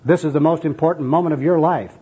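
Man acting a pushy young street evangelist, pitched up. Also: fast, mellow, breathy edge. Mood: zealous, accusing. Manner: emphatic, preachy, urgent. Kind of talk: comic skit acted out in a sermon. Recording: dull, muffled lo-fi recording.

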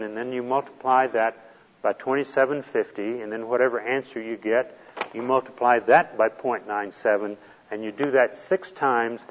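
A man's voice: medium pitch, big-room sound, muffled recording. A man teaching a retirement planning class, explaining step by step in a patient, plain way. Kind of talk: classroom lecture.

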